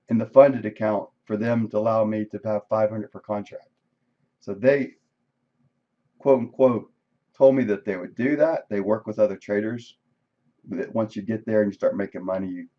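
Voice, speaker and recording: A man reviewing his day's trades on a live stream, casual and thinking aloud, with a voice that is going hoarse. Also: lowish voice, highs cut off. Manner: conversational, thinking aloud, frequent "right?". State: losing his voice, coughing. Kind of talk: casual screen-share trade recap. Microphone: desk microphone.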